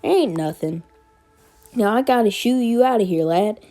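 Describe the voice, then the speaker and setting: A motherly older neighbour lady , as a woman reader plays her, from a comic book read-along. She sounds folksy and welcoming, with colloquial phrasing and a voice lifted well above the reader's usual low one. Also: lively intonation, normal speed, warm tone, faint music behind, close to an everyday voice. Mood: kindly, welcoming.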